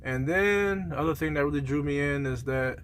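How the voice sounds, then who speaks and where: A man's voice: on the high side, moderate pace. Casual rambling man over background noise. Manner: colloquial, rambling, conversational. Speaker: truck driver reviewing gear. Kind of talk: casual vlog review.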